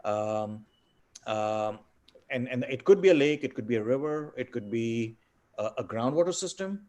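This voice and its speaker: Guest speaker, man from India, Tamil native; warm low-key tone, halting delivery full of restarts, webinar call. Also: animated. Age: middle-aged. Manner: halting, many fillers and repeated words. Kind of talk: webinar Q&A answers.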